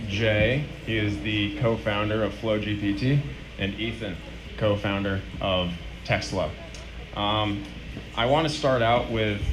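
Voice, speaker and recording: A young man moderating a live panel, introducing guests and asking questions. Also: lowish voice. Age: young adult. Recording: echoey noisy room, live event microphone.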